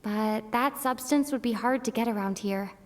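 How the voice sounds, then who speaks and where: An actress playing a thoughtful, observant female traveler in a video game, reasoning in a pensive, deliberate way. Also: on the high side, slight background noise, close to an everyday voice.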